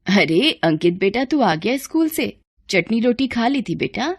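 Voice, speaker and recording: A woman voicing a humble, loving village midwife and mother in a story, soft and homely in manner. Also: on the high side, sing-song, measured pace, no room sound, clean studio sound, heavily acted. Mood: tender.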